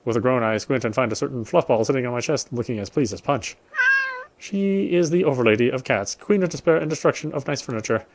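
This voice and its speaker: A man's voice: medium pitch, fast talker. A man doing casual let's play commentary and reading a game's story text aloud. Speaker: game streamer. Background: game music.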